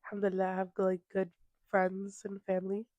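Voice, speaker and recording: A young woman in her twenties with a sore throat talks in a playful, teasing way, her pitch in the middle range. Her small desk mic gives a close, dry sound. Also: completely flat delivery, brisk, darkish tone, no echo, muffled recording. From New York. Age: 20s. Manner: playful, laughs often, teasing. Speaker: podcast co-host. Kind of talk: casual chat.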